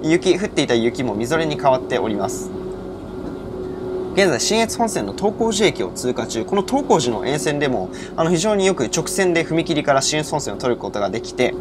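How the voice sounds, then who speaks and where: Young man, lively railway vlogger, noisy background. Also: on the high side. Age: early 20s. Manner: informative, detailed railway-fan explanations. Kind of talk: vlog narration with explanations. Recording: loud train and station noise.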